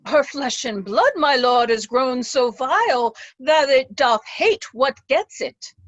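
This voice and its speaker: A woman's voice: high voice, lively intonation, slow pace, no echo, highs cut off, full character voice. A woman playing a dutiful, troubled older lord, solemn and concerned, in an amateur Shakespeare reading.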